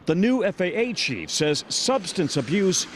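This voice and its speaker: An American man reports the news in a high-pitched voice. His scripted correspondent delivery moves widely up and down in pitch. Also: very animated, unhurried, a little hiss or hum.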